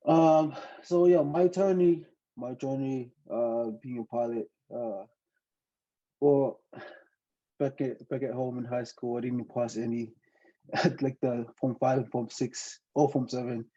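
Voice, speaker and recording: Young man from Tonga, Tongan first language, hesitant and laughing, very dark mellow tone, muffled lo-fi sound. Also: medium pitch, fairly even intonation, takes it slowly. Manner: hesitant, many fillers, laughs often. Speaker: podcast guest, student pilot. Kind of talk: casual interview storytelling.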